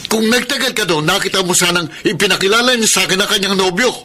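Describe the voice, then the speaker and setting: A high-pitched husband's voice with music behind it. He has a Filipino accent and sounds exasperated and indignant as he defends himself. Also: quick pace, pushed and bright. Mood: scolding. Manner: indignant, scolding. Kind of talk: Filipino radio drama acting. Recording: radio drama with music behind.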